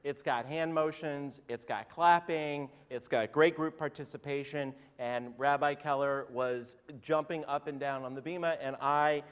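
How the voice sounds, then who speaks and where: A man, a rabbi with a slightly hoarse voice, giving a chatty, joking sermon and calling on congregants by name. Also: fairly high pitch, fairly even intonation, a little slow, hardly any pauses. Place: synagogue sanctuary. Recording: roomy hall reverb.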